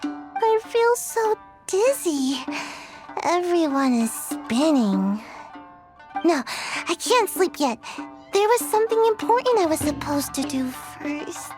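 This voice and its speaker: A woman voicing a sleepy, deadpan little girl ninja with a drawn-out delivery in a video game. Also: extremely high pitch, music in the background, exaggerated voice. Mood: drowsy. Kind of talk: video game cutscene voice acting.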